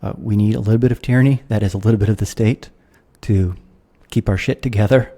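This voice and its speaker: A man's voice: on the low side, steady tone, fast, warm tone. An American man, a guest philosopher, talking through ideas in a thoughtful, professorial way with the odd wry laugh. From United States. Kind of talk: conversational interview.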